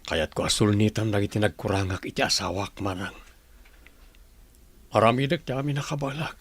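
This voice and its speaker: A man playing an earnest, devoted husband who longs for his family in a radio drama, with a husky voice and emotional delivery. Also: on the low side, brisk. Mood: hopeful, determined.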